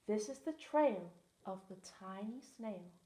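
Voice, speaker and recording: A woman's voice: mid-range voice, animated, slow, mellow. A woman teaching assistant reading a rhyming picture book aloud with a lilting rhythm. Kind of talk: storybook read-aloud. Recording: echoey room.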